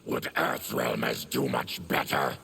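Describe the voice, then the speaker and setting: An actor playing a bitter, diseased, monstrous former soldier in a fighting game, growling with grim menace. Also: male voice, a bass voice, flat delivery, a little slow, sharp tone, slight background noise, exaggerated voice. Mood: menacing. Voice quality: gravelly, growling. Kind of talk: video game fight intro dialogue.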